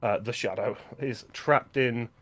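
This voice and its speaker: A man's voice: medium pitch, measured pace, fairly bright tone. A man co-hosting a film podcast, talking casually with an analytical, opinionated manner.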